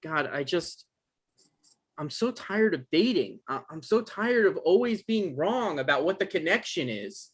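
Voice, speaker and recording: A man playing an anxious, earnest novelist, halting. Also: on the high side, very animated, husky, full character voice. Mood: distressed. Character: anxious, defensive male novelist caught in romantic trouble. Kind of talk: video-call table read acting.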